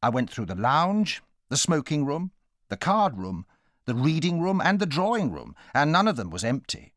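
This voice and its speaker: A man's voice: a little high, big pitch swings, moderate pace, fairly bright tone, dry recording, studio quality. An actor narrating as a storyteller recalling his boyhood in a dramatized audiobook. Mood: measured storytelling.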